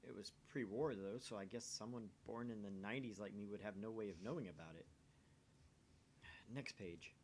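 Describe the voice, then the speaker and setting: A man's voice: fairly low pitch, moderate pace, a little hiss or hum. A man reading a visual novel's narration and dialogue aloud in a dramatic reading. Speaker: amateur voice reader of a visual novel.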